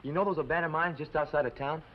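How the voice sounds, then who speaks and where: Guy acting a polite brave kid in a 50s tv western, quick and animated, bit of noise on it. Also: fairly high pitch, lightly acted. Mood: earnest. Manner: plain-spoken, polite, earnest. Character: earnest, modest, polite young nephew, brave youth. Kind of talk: 1950s television western acting. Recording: muffled, noisy old TV western soundtrack.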